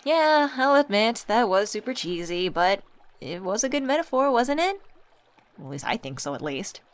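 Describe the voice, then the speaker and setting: A woman voice actor playing a supportive, gently teasing young best friend in a scripted ASMR roleplay, talking casually and intimately. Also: mid-range voice, big pitch swings, normal speed, close to an everyday voice. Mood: playful, hopeful.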